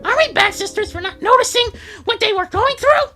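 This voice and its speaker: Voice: extremely high pitch, lively intonation, fairly quick, bright, forceful tone, soft background music, voice pushed up, heavily acted. A performer voicing a distressed young cartoon girl in a fan dub, strained and exclaiming. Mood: upset, disbelieving.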